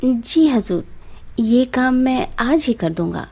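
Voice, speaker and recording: A poor, honest painter from a village tale, played by a woman storyteller. He is humble and deferential, speaking slowly and politely. The tone is mellow. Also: on the high side, animated, gravelly, husky, voice pushed up, full character voice. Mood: eager, respectful.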